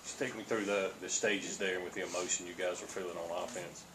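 Man, very low voice, reporter questioning at press conference, noisy room. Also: steady tone, fast talker.

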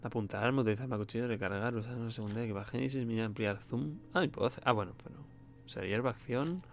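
A man's voice: medium pitch, fast talker, soft background music. A man with an accent from Spain giving casual, sarcastic gameplay commentary as a streamer. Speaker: video game streamer.

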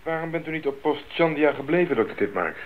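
A man's voice: a little high, expressive, quick pace, lightly acted. An actor playing a young male missionary in a radio drama, thoughtful and dryly good-humoured. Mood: questioning. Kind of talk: 1980s radio drama acting. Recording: muffled, noisy 1980s radio recording.

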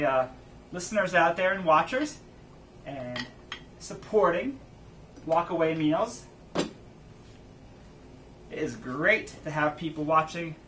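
A man in his thirties hosting an energetic, chatty cooking demo outdoors in his backyard garden. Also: on the high side, far from the mic, a little hiss or hum. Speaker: cooking show host. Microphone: far camera, outdoors.

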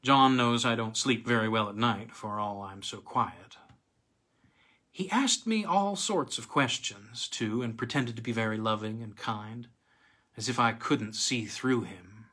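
A middle-aged man reading a literary story aloud expressively, with dramatic, halting delivery and emphatic pauses. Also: medium pitch, sharp tone, slightly rough. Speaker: reader of weird tales. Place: small home room with bookcase, indoors.

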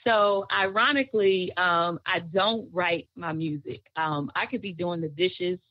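Low-voiced woman telling her story. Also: unhurried, no echo, lo-fi sound. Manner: relaxed, storytelling, laughs often. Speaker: guest singer. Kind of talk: casual interview chat.

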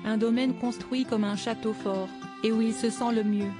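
The monotone, synthetic-sounding woman you would hear reading out gossip articles on an automated slideshow video, music playing along behind her. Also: on the high side, moderate pace. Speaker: narrator of a celebrity news video. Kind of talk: scripted narration. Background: background music.

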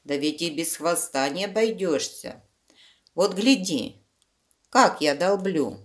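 A woman's voice: lowish voice, animated, slow. A woman voicing a practical woodpecker in a children's storybook, mildly scoffing as it explains. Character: amused, practical woodpecker scoffing at silly question.

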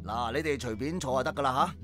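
A man's voice: mid-range voice, fast talker, music in the background, close to an everyday voice. An actor playing a jovial, welcoming man who organises a prayer group, in a Hong Kong Cantonese radio drama.

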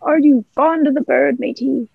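A woman's voice: high-pitched, moderate pace, vocal fry, no echo. A young woman playing a sly, wheedling pirate in a radio play, speaking in ingratiating old seafaring dialect. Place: indoor room, remote video recording. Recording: muffled home remote recording.

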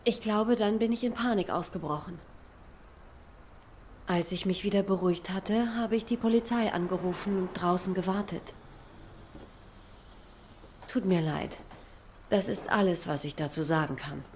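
An actress playing an obliging, down-to-earth young cat waitress in a noir video game, plain-spoken and a little hesitant. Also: female voice, mid-range voice, moderate pace, long pauses, darkish tone, slight background noise, lightly acted. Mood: subdued, shaken.

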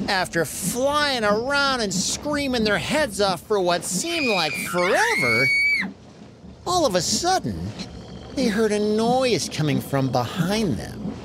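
A narrator telling a children's story in an animated style with dramatic, drawn-out emphasis. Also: medium pitch, heavy background noise.

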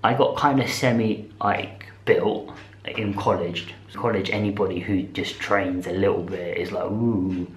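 A British man in his twenties talking casually to camera in a rambling, slangy vlog style, speaking in animated bursts. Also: low-pitched, unhurried. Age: 20s. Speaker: vlogger. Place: small indoor room with bare walls. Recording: echoey, roomy sound.

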